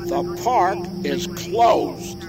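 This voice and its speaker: An actress playing a no-nonsense police officer in an audio drama, brusque and commanding. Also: female voice, medium pitch, lively intonation, drawn-out words, over loud music. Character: no-nonsense, bewildered police officer on park patrol.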